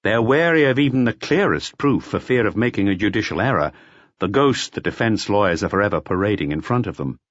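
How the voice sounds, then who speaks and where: Guy reading a crime audiobook, really animated delivery, bit lo-fi, no room echo. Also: fairly low pitch, fairly quick. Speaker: audiobook narrator. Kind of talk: expressive scripted narration of a detective novel.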